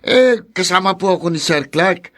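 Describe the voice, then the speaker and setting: A man's voice: sits high, animated, normal speed, a bit bright. A Filipino actor playing a meek, chatty servant to a rich lady, comic, whiny and deferential in radio drama style. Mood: nervous, chatty.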